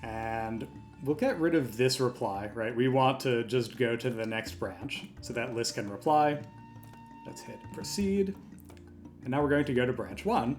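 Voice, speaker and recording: A man hosting a software tutorial, talking through each step in an informal, explanatory way. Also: mid-range voice, lively intonation, unhurried, faint music behind. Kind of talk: step-by-step screen tutorial narration, conversational. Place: voice-over for a screen recording.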